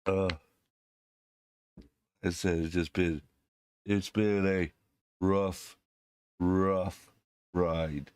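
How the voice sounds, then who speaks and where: A man hosting his channel while sick, his voice deepened by illness, talking casually to viewers with many fillers and restarts into a desk microphone that clips when he is too close. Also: fairly even intonation, drawn-out words, long pauses, darkish tone, smooth, dry recording. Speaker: channel host.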